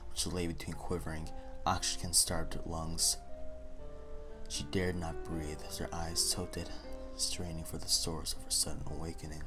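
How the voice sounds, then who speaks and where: Deep low guy reading spooky fanfic, slow and measured, faint music behind. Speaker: story reader.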